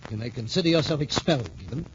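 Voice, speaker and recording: An actor playing a middle-aged American major who heads a military academy, formal and emphatic, in 1940s radio drama style. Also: male voice, mid-range voice, animated, racing, close to an everyday voice. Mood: stern. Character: stern but appreciative major heading a boys' military academy. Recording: muffled, noisy 1940s radio recording.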